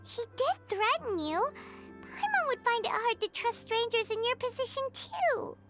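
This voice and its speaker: A woman's voice: very high voice, very animated, moderate pace. A woman voicing a small cheerful childlike companion sprite in a video game. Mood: reassuring. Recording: loud game music behind the voice.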